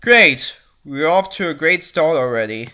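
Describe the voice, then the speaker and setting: A woman's voice: low-pitched, expressive, unhurried, sharp tone, smooth. A woman doing a comedic read-aloud of fanfiction, with mocking, sarcastic asides and a tendency to giggle. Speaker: fanfiction reader and commentator.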